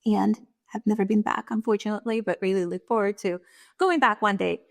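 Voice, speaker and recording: A woman's voice: mid-range voice, steady tone, fairly quick, gravelly, no echo, studio quality. Woman from Russia, thoughtful guest. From Russia and Kazakhstan. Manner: thoughtful, laughs lightly between points. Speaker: guest, leadership strategist. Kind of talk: interview conversation.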